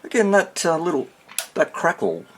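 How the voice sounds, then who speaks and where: A man giving a casual hands-on repair demonstration, muttering to himself and pausing between short phrases as he thinks aloud. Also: a little high, big pitch swings, noisy background. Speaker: hobbyist radio repairer presenting his workshop video.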